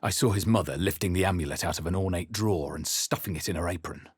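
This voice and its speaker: Very low, husky fantasy narrator. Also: male voice, dry recording, studio quality. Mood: solemn, suspenseful. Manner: measured, grave, dramatic pauses. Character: brooding male adventurer narrating his own dark memories. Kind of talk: solemn first-person narration in a fantasy video game.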